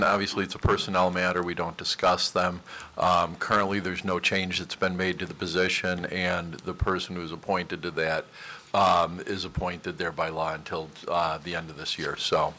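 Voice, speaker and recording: Male official, very deep voice, bright edge, brisk and matter-of-fact. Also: fairly even intonation, a little hiss or hum. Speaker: township official.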